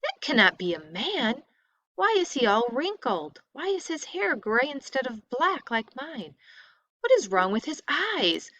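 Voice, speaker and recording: A woman's voice: high voice, big pitch swings, unhurried, bright, forceful tone, no room sound, muffled recording, voice pushed up, exaggerated voice. A woman reading the part of a sheltered young prince in a storybook, earnest and wide-eyed, asking insistent questions. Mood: shocked, puzzled.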